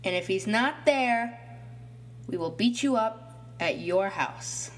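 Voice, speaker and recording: A man's voice: high-pitched, expressive, a bit bright, voice pushed up, exaggerated voice. A man voicing a taunting schoolboy bully who mocks and threatens in an audiobook horror story. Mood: threatening. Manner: taunting, slow challenging delivery.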